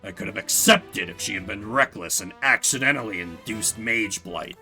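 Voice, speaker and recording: A man voicing a cold, stern nobleman prosecutor in a video game, speaking in a measured, pompous way. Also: lowish voice, gravelly, sharp tone, faint music behind, voice pushed down, full character voice. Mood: stern condemning.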